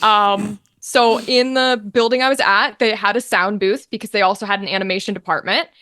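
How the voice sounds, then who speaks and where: A young woman chatting casually as an interview guest, telling her story in an animated, informal way. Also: mid-range voice, a little fast, no room sound. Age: young adult. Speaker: guest, voice actor.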